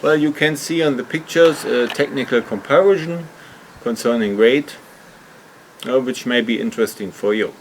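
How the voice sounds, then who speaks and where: A man's voice: medium pitch, moderate pace, slight background noise. A man narrating an explanatory hobby vlog in hesitant, slightly awkward non-native English phrasing. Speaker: video host, hobby motorcycle customizer.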